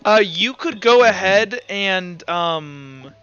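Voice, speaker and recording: A man's voice: sits high, expressive, slow pace, sharp tone, clean tone. A man casually game mastering an online tabletop roleplay session, informally talking through rulings aloud. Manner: informal, talks through rulings aloud, acts out sound effects. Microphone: online voice chat microphone. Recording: dull, lo-fi voice chat with music behind.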